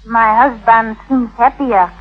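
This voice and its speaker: An actress playing a coy, scheming young Victorian wife in an old radio drama, prim and deliberate. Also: female voice, fairly high pitch, fairly even intonation, faint music behind. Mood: cool, coy. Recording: dull vintage radio recording.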